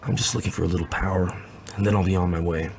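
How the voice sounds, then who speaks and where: An actor playing a world-weary, gravelly-voiced war veteran drifter in a dystopian western audio drama. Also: male voice, deep voice, steady tone, very fast, background noise. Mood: guarded. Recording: loud music and effects underneath. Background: loud guitar music.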